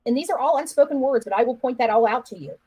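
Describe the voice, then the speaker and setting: A woman's voice: a little high, lively intonation, very fast, a little mellow, slightly rough. A woman giving opinionated live-stream commentary in an accusatory, insistent manner, repeating phrases for emphasis. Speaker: online commentator.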